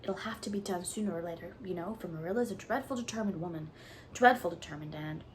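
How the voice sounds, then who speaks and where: A woman's voice: on the low side, quick pace, a little hiss or hum, natural voice. Woman reading a shy old farmer guy, whispery and coaxing. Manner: hushed, coaxing. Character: shy, gentle elderly farmer man.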